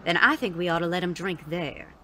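Tough frontier madam played by a woman, low-pitched, cutting and dry Western drawl, audio Western. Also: a little fast, pushed and bright, a little hiss or hum. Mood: hostile, cutting. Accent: American Western drawl. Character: tough, prickly, foul-mouthed Wild West saloon madam. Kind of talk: audio drama acting.

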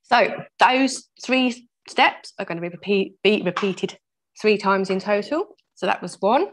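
A woman with a croaky voice teaching a weaving workshop over a video call, patiently explaining each step and laughing off her own mistakes. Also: mid-range voice, animated, measured pace. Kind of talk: step-by-step craft demonstration. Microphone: laptop mic over video call.

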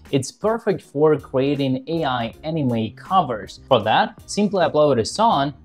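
Upbeat guy in his 20s doing a tutorial, really animated intonation, bit of music under it. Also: mid-range voice. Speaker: tutorial presenter. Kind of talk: scripted tutorial narration to camera.

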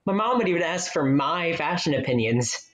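An actor playing a gentle, supportive young man in a radio play, conversational and reassuring. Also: on the high side, lively intonation, normal speed. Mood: reminiscing, gentle.

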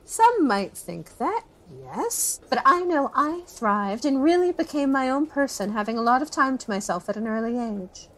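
A woman's voice: sits high, sing-song, moderate pace, few pauses, fairly bright tone, rough recording. A woman playing a chatty small-town niece reminiscing about her uncle in a folksy, rambling documentary interview. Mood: fond reminiscing. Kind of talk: acted documentary interview, conversational.

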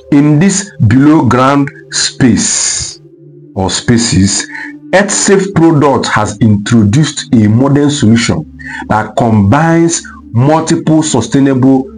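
A man with a mid-range voice narrates a scripted documentary voice-over. He reads each step in a steady, explanatory way. Faint music plays behind him. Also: medium pitch, poor audio. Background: faint background music.

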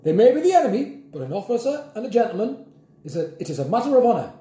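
A man voicing a gallant, pompous old British military grandfather with brisk, commanding clipped speech, in an audiobook story. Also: high-pitched, sing-song, warm tone, reverberant, voice pushed up.

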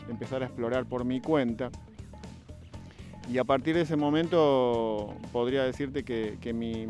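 A man, telling his story in a measured, reflective way, recorded outdoors under trees on a handheld microphone. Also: mid-range voice. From Buenos Aires, Argentina. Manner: reflective, measured, with restarts and fillers. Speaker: interviewee, photographer and diver. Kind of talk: recorded interview testimony.

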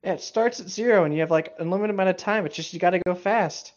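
A man's voice: high-pitched, quick pace. A man banters casually as a co-host, mocking and profane. He jokes in a loose, conversational way. The sound is a little dull, like a modest home recording. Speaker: co-host reading and mocking internet horror fiction.

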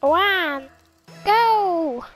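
A young woman with a sore throat, casually commentating a car-soccer video game match in an excitable, joking, chatty way. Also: very high voice, extremely slow, faint music behind. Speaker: esports commentator.

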